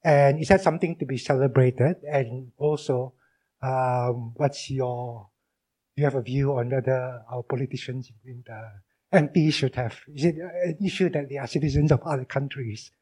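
A man in the audience asking a question hesitantly, pausing and searching for his words. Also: mid-range voice, mellow, low-quality recording. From Australia.

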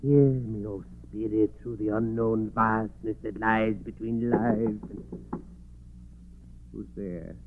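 A man acting a sly, evasive fraud spirit medium in a 1940s radio drama, theatrical and smooth but easily flustered. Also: fairly low pitch, steady tone, slow. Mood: solemn, pompous. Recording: scratchy 1940s radio recording, muffled, narrow band, hum.

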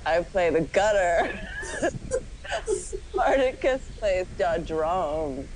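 A woman's voice: fairly low pitch, sing-song, extremely slow, darkish tone, slight background noise, rough recording. A woman giving a comic dramatic reading of fan fiction, often giggly as she goes. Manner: giggly, often breaking into laughter. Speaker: podcast host doing a dramatic reading.

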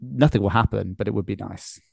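A man's voice: fairly low pitch, brisk, vocal fry, husky, no echo. A man hosting a podcast in casual banter, joking with dry understatement. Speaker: podcast host.

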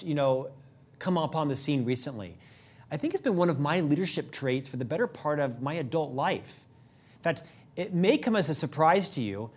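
A middle-aged man hosting a leadership show, talking fast and upbeat in a prepared monologue, with an occasional stutter on a word. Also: fairly high pitch. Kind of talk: scripted monologue to camera.

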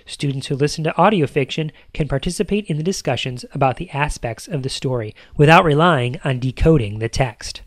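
A man's voice: a little high, fairly quick, few pauses, no room sound, clean studio sound. A man hosting a podcast, talking through a scripted monologue in a conversational way, with playful emphasis on lists. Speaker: podcast host.

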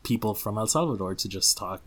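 A man hosting a casual interview, relaxed and playful, who drops Spanish words into his talk. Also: fairly low pitch, a little fast. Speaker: podcast host.

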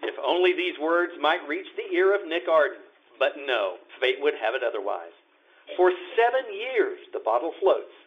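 A man's voice: fairly high pitch, big pitch swings, moderate pace. A man narrating a radio play in a mock-dramatic style. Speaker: story narrator. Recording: muffled, faintly noisy audio.

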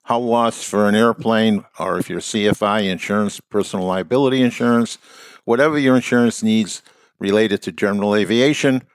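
A man hosting a podcast, reading a sponsor message in a steady, plain way and then moving on to the show. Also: on the low side, no echo. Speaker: podcast host.

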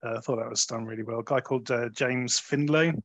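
A man's voice: medium pitch, steady tone, fast talker, fairly bright tone, dry recording. Close, dry-sounding man reviewing films. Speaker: film review podcast host. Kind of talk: conversational film review.

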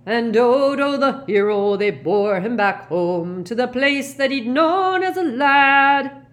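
A woman voicing a tearful, tipsy, frightened old male professor in a storybook, halting and genteel. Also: fairly high pitch, lively intonation, voice pushed up. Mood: maudlin singing.

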